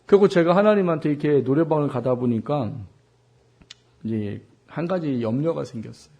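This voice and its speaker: A man in his forties from South Korea preaching a sermon as a pastor, with a husky, worn voice, putting questions to his listeners and repeating words for emphasis. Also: a little high, normal speed, darkish tone, lo-fi sound. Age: 40s. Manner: rhetorical questions to the congregation, emphatic repetition. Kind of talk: Sunday sermon with personal anecdotes.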